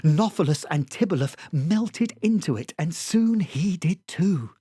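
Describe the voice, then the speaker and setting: A storyteller reading a bedtime story in a soft, soothing, hushed way. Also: medium pitch, lively intonation, unhurried, husky, no echo, clean studio sound.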